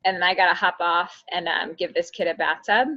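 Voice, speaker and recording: A woman's voice: fairly low pitch, fairly even intonation, fairly quick, no echo, muffled recording. A woman coaching a new recruit over a video call, upbeat as she walks him through an ordering site step by step. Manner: upbeat, instructive. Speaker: business coach. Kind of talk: casual video call chat and walkthrough.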